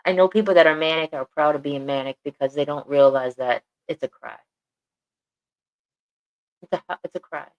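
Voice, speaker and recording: A woman's voice: low voice, normal speed, pauses often, highs cut off. A woman co-hosting a podcast, talking earnestly and repeating phrases for emphasis. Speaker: podcast co-host. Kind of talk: casual podcast chat.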